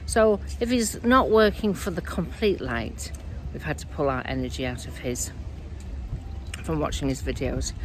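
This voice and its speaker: A woman speaking as a spiritual medium in a casual, earnest, rambling monologue, her voice croaky and dry. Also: on the low side, a little hiss or hum. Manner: earnest, rambling, self-correcting.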